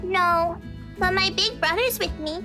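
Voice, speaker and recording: A woman's voice: very high-pitched, unhurried, music in the background. A woman playing a curious, blunt girl of six or seven in a visual novel, chirpy and matter-of-fact.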